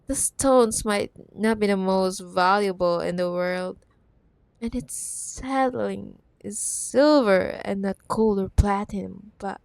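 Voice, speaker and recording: Casting: woman as possessive corporate villainess ex, teasing and condescending, unhurried, intimate ASMR roleplay, very dry recording. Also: medium pitch, creaky, no room sound. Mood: gloating admiration. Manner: teasing, condescending, drawn-out words with pet names. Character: possessive, teasing cyberpunk CEO ex-girlfriend villainess. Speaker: audio roleplay voice actor. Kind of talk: ASMR audio roleplay acting.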